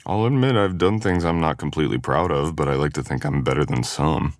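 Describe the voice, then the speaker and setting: A man's voice: very deep voice, a little fast, no echo, studio quality. A man playing a teasing, caring male best friend in an intimate boyfriend ASMR audio roleplay, with playful grumbling. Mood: concerned, gentle.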